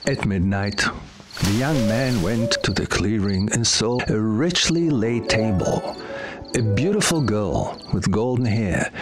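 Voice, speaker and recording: A man narrating a scripted fairy tale with deliberate storytelling delivery, as if for children. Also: fairly low pitch, expressive. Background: music.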